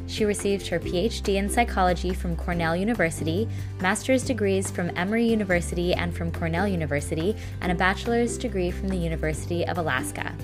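A woman with a fairly low voice hosts a podcast and reads a scripted introduction. Her delivery is clear and steady. Music plays clearly beneath her voice. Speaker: podcast host. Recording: music bed under the voice.

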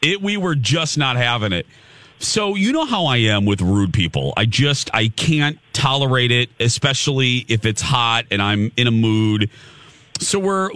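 A man co-hosting a morning radio show, telling a story in a lively, chatty way with laughs, close on a studio microphone. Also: mid-range voice, dry recording.

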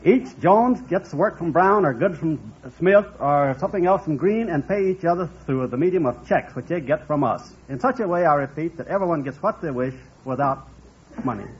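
An American man from Texas speaking as a quiz show contestant, explaining things in formal, wordy, deliberate phrases. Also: on the high side, lively intonation, slightly rough. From Texas, United States. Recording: muffled old radio recording.

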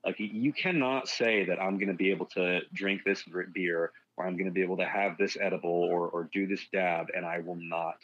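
A man's voice: deep voice, steady tone, brisk, few pauses, a bit bright, highs cut off. A man talking as a fitness guest on a casual podcast, frank and rambling, swearing freely.

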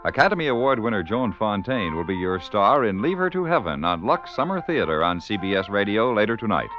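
A man's voice: fairly low pitch, big pitch swings, brisk, over music. A man announcing on 1950s radio in a polished, formal scripted style. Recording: dull vintage radio recording.

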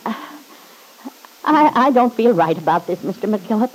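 A woman's voice: medium pitch, expressive, normal speed, a little hiss or hum, close to an everyday voice, rough recording. An actress playing a nervous, loyal old household servant in an old-time radio drama, hesitant and deferential as she stammers. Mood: reluctant, uneasy. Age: older.

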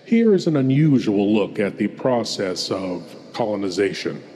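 A man speaking as a ghoulish horror host, narrating in a dramatic, deliberate way with theatrical pauses. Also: fairly low pitch, very animated. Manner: dramatic, deliberate, hushed at times. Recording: long hall reverb.

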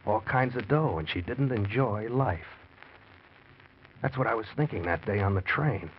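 A man's voice: on the low side, lively intonation, moderate pace, lightly acted. Hard-boiled scheming husband played by a man, muffled noisy radio. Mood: brooding. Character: desperate scheming husband, a writer, narrating his crime. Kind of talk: 1940s radio drama acting.

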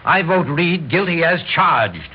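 A muffled vintage radio recording. a stern, blustering major, a man with a rather high, very animated voice. He sounds used to running the room and giving orders. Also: unhurried. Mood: stern, threatening. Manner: blustering, authoritative. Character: stern, domineering major presiding over a kangaroo court. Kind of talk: mid-century American radio drama acting. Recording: muffled old radio recording with faint noise.

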